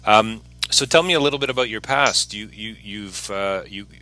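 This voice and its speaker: A man's voice: lowish voice, moderate pace, bright, forceful tone. A man hosting a casual interview podcast, talking in an earnest, encouraging way.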